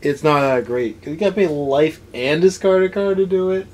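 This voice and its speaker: A man's voice: on the high side, normal speed, a little mellow, slight background noise. A man in a casual, opinionated discussion as a review co-host, blunt and dismissive in his ranting. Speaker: co-host of a card game anime review.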